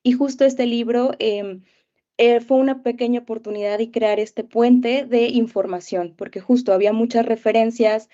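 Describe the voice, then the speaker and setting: A young woman with a Mexican accent answers questions about her life in an easy, rambling way, filling gaps with small hesitations. Her voice sits mellow and dark. The recording sounds dull and muffled, like a video call. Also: a little high, fairly even intonation, normal speed. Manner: warm storytelling with many fillers like eh and este. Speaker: teacher. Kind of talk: casual interview answers.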